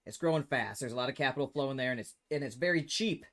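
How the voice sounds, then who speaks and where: A man's voice: medium pitch, fast, a bit bright. A man hosting an online interview, upbeat and encouraging, asking long questions over a remote call mic. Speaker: interview host.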